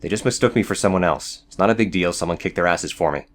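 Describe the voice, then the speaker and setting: A man's voice: sits low, quick pace, close to an everyday voice. A man voicing a tired, curt, sardonic teenage boy in an audiobook drama. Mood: tired, dismissive. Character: world-weary, sardonic teenage tough boy who smokes. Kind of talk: audiobook character acting.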